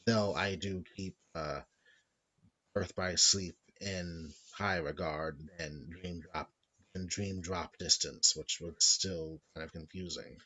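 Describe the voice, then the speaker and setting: A young man co-hosting a casual chat show, rambling through his points and now and then breaking into loud playful shouts. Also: sits low, steady tone, slow pace, breathy edge, poor audio. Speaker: podcast co-host.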